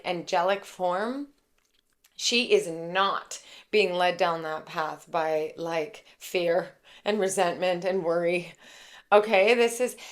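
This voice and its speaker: A woman with a raspy voice giving a casual, chatty tarot reading, full of fillers and warm asides to her viewers. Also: fairly low pitch. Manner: gushing, chatty, many fillers like "like" and "okay". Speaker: tarot reader and intuitive.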